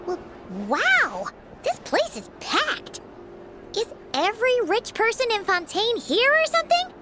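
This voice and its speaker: A woman voicing a tiny childlike fairy companion in a game, squeaky, excitable and easily flustered. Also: measured pace, noisy background, full character voice. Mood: excited, nervous. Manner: flustered, exclaiming, refers to self by name. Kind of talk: animated game character acting. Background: background music.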